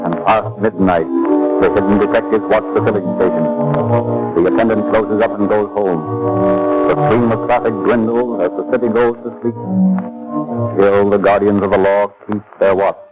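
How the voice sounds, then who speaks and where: A solemn crime-show narrator played by a man with a lowish voice and florid, dramatic delivery. Music runs behind him on a vintage 1930s radio recording. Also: steady tone, close to an everyday voice, poor audio. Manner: florid, measured storytelling.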